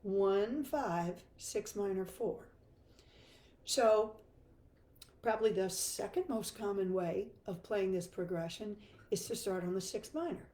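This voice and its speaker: An older woman with a hoarse, froggy voice, teaching a chatty guitar lesson live from her home music room. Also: mid-range voice, slow pace, dark tone, roomy sound, slight background noise. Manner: chatty, self-deprecating, laughs easily. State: hoarse from laryngitis.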